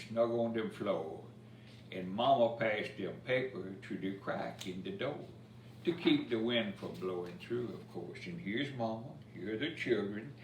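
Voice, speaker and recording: Slow-paced man reading aloud in a roomy space. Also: fairly low pitch. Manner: expressive storytelling, pausing to point out pictures. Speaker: story time reader. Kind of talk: read-aloud picture book in Cajun dialect verse with asides. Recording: roomy, distant mic with faint noise.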